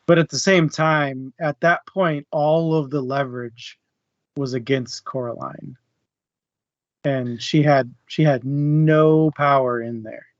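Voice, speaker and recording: A man's voice: mid-range voice, steady tone, slow pace, no echo. A man co-hosting a casual book discussion, talking through his thoughts in a conversational way. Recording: dry, muffled online audio.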